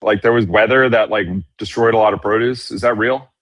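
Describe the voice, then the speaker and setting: A man's voice: lowish voice, steady tone, fairly quick. A man co-hosting a casual podcast, joking around and trading banter. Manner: joking, says "dude" a lot. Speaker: podcast co-host.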